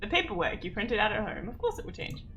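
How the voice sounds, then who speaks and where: A woman's voice: mid-range voice, big pitch swings, fast, a bit bright, slight background noise. A woman streamer giving casual game commentary with wry, joking asides.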